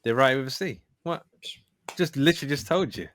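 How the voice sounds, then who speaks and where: A man's voice: medium pitch, fast, fairly bright tone. A man speaking as a podcast guest in an informal, self-effacing, chatty way. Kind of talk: casual chat.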